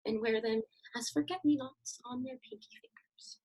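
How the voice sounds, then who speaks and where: A woman playing a grieving young woman in a monologue read over a video call, swinging from raw outbursts to hushed whispers. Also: high-pitched, moderate pace, poor audio. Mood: bitter. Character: anguished young woman Greta, grieving her dying father.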